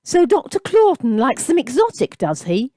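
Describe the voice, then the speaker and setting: A woman's voice: high-pitched, wildly swooping pitch, normal speed, warm tone, dry recording, clean studio sound, pitched above the performer's own voice. A woman voicing a lanky red-haired man who scoffs and taunts. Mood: taunting.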